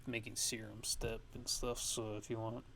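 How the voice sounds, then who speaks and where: A man giving a casual music production tutorial in a halting, laid-back, ASMR-style way, talking offhand. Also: fairly low pitch, flat delivery, a bit bright, a little hiss or hum.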